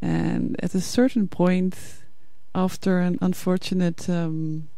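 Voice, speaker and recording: A woman whose first language is Dutch, hosting a radio interview in English and asking prepared questions in a measured way. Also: lowish voice, level tone, vocal fry, dark tone, no echo. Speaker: radio host. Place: radio studio.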